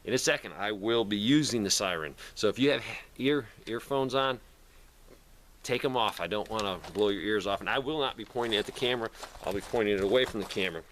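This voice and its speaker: Low-pitched man vlogging over clear background noise. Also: a little fast, fairly bright tone. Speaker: prepper vlogger reviewing gear. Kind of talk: casual talk to camera.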